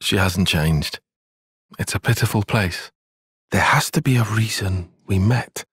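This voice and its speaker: Precise, husky young soldier. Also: male voice, fairly low pitch, a little slow, a bit bright, no echo, clean studio sound, heavily acted. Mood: somber, reflective. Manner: precise, formal, bookish phrasing. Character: analytical, earnest young male soldier and tactician. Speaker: video game voice actor. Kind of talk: dramatic game cutscene acting.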